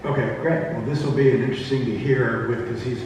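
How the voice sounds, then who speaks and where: A man's voice: mid-range voice, steady tone, fairly quick, a little mellow. Casting: male panelist, loose rambling chat, big echoey hall, far from mic, noticeable noise. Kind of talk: casual convention panel chat. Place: convention panel room.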